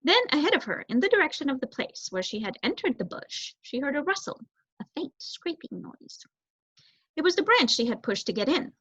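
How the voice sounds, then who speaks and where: A young woman giving an expressive reading of a children's novel as narrator. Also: high-pitched, a little fast. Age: young adult. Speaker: story reader, student. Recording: roomy echo, dull muffled sound.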